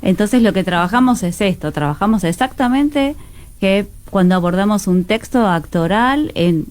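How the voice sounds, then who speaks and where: A woman's voice: medium pitch, expressive, normal speed, darkish tone. A woman with a Buenos Aires accent, speaking as a radio interview guest in an earnest, explanatory, conversational way. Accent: Argentine, Buenos Aires. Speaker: guest, actress and radio drama teacher.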